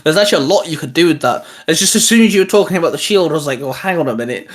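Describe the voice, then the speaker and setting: A man's voice: fairly high pitch, lively intonation, very fast. A British man hosting a casual chat, brainstorming ideas with enthusiasm. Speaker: podcast host.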